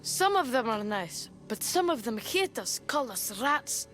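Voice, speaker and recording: A woman's voice: a little high, very animated, measured pace, a bit bright, faint music behind. An actress playing a small, polite, earnest and worried young boy in a video game. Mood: worried, hopeful. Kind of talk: dramatic video game acting.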